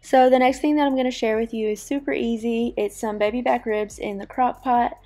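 A woman casually narrating her cooking in a relaxed, chatty voice-over. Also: on the high side, a little fast, faint music behind. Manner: relaxed, chatty, friendly explaining. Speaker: cooking vlogger.

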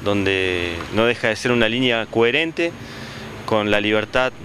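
A man's voice: lowish voice, fairly bright tone, slight background noise. A man in his forties, a union leader giving a denouncing statement outdoors into a handheld mic. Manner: denouncing, measured. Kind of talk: press statement. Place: outdoors on a town street. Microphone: handheld reporter mic.

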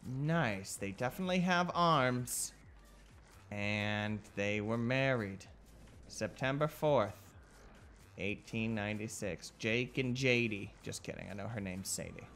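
A man's voice: lowish voice, slow, a bit bright, faint music behind. A young man giving casual, joking gameplay commentary in a playful, goofy manner. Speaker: gaming YouTuber.

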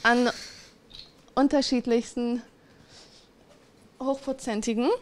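A woman's voice: a little high, flat delivery, slow, slight background noise. A young woman hosting a casual interview, asking questions into a handheld microphone. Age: young adult. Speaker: interviewer, host. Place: indoor room, seated on a couch. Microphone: handheld microphone, close.

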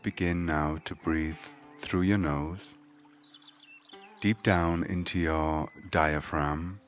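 Very slow, deep-voiced man guiding meditation. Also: fairly even intonation, faint music behind. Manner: gentle, measured, instructing. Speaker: meditation guide. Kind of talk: guided meditation, slow spoken instruction.

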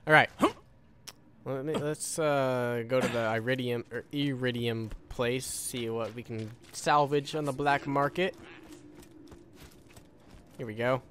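A man's voice: medium pitch, fairly even intonation, measured pace, long pauses, a bit bright, a little hiss or hum. A man giving casual live gameplay commentary, offhand and profane, musing aloud. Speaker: gamer recording a walkthrough.

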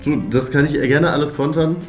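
A man's voice: a little high, steady tone, brisk. A young man hosting a video, bantering casually and jokingly insisting on his answers to viewer questions. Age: young adult. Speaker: YouTube host. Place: furnished indoor room, seated at a table. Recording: roomy echo with music underneath.

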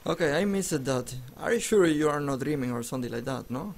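A man's voice: on the high side, lively intonation, fairly quick. Joking male esports caster. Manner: joking, restarts words often. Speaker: esports commentator. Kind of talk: casual match commentary.

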